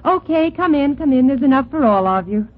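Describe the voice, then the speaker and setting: Sceptical young city woman, no-nonsense and animated, highish voice, tinny vintage radio recording. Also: a little fast, noisy background. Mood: unimpressed, brisk. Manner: blunt, no-nonsense. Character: brisk, sceptical young New York niece keeping house. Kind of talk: 1940s radio comedy acting.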